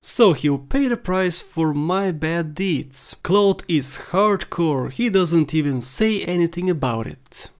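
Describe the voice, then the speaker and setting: A man's voice: fairly high pitch, expressive, takes it slowly, hardly any pauses. A man giving casual, opinionated commentary as narrator of a video game countdown.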